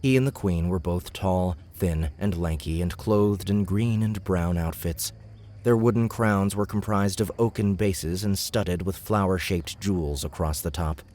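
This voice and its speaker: A man's voice: low-pitched, measured pace, darkish tone. A man reading scripted fantasy fiction as an audiobook narrator.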